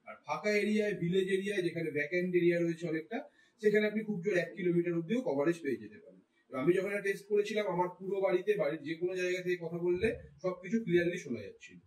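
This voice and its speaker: A man's voice: sits high, a little mellow. An Indian man with a Bengali accent giving a casual product review, explaining things to viewers and mixing in English phrases. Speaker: tech reviewer, vlogger. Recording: roomy echo, distant mic.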